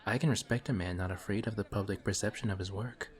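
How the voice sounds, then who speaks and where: A man's voice: on the low side, steady tone, fast, close to an everyday voice. A man playing a suave, polite villain. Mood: courteous. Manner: courteous, formal, sly and quietly taunting. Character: suave, polite male villain in civilian clothes. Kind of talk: one-sided audio roleplay. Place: coffee shop scene with faint café chatter.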